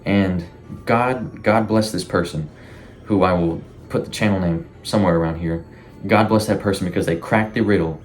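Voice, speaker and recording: A man giving casual, rambling, informal commentary as a YouTube host, with animated reactions. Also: deep voice, normal speed, soft background music. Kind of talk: casual commentary on a horror series.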